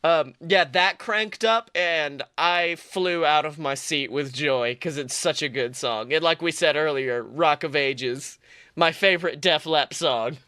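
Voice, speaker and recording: A man's voice: a little high, a little slow, sharp tone, clean tone, no room sound. A young man co-hosting a fan podcast, joking and rambling in casual chat with plenty of fillers. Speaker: podcast co-host.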